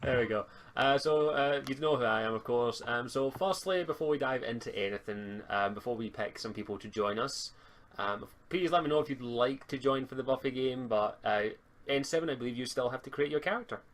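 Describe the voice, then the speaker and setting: A man's voice: mid-range voice, a little fast. A man acting as game master, explaining roleplaying rules to players on an online voice call. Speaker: game master and stream host. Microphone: voice call on a live stream.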